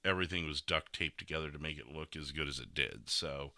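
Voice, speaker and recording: A man's voice: very deep voice, fairly quick, sharp tone, no room sound. A man hosting a casual podcast in a rambling, self-deprecating and joking manner. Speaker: podcast host and game streamer.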